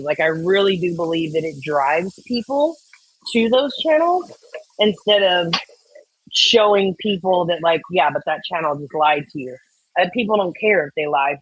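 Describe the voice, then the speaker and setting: Lower-voiced woman, earnest casual chat. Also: slightly rough, rough recording.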